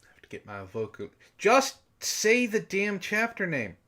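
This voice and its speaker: A man's voice: high voice, wildly swooping pitch, measured pace, pushed and bright. A man bantering in a comedic book club chat, theatrical and mock-pompous, easily worked up. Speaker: book club co-host and reader.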